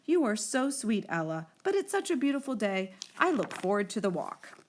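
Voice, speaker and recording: Frau spielt freundliche Dame aus Bilderbuch. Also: female voice, medium pitch, very animated, moderate pace, slight background noise, natural voice. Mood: gracious. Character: kindly, polite grown woman in a storybook.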